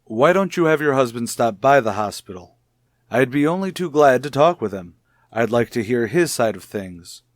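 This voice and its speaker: A man's voice: mid-range voice, lively intonation, no room sound, studio quality, close to an everyday voice. Man acting a formal, patronising radio-drama doctor. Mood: measured, professional. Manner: formal, measured, professional. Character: composed, authoritative male child psychologist, measured and patronising. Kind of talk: 1950s radio soap acting.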